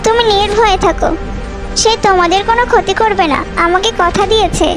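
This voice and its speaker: A woman's voice: very high-pitched, steady tone, brisk, over music. A woman voicing a small, kind, helpful bird in a children's cartoon. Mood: reassuring.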